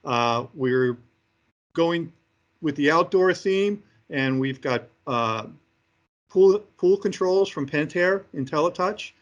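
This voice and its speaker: A man giving interview answers as a company executive presenting products, speaking haltingly with frequent hesitations between phrases. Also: on the high side, animated, lo-fi sound.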